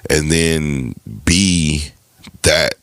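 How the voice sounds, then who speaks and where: A man with a smooth voice asking a question in a recorded clip. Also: rumbling low, fairly even intonation, a bit bright, no room sound, studio quality. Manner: smooth, unhurried. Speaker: former podcast guest asking a recorded question.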